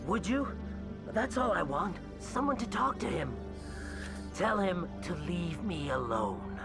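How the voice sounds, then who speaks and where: A man's voice: high-pitched, big pitch swings, slow, creaky, loud music behind the voice, exaggerated voice. An actor voicing an anxious, scheming alien man in a video game, agitated and pleading. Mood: pleading, wheedling. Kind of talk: video game character acting.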